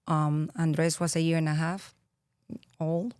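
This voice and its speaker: A low-pitched woman with a Venezuelan Spanish accent talks slowly and earnestly, pausing often to think. Her voice sits dry and close to the mic. She sounds like a podcast guest reflecting in her thirties. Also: level tone, a little mellow, clear, resonant tone, no echo. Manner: reflective, earnest, with frequent pauses and fillers. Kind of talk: interview conversation.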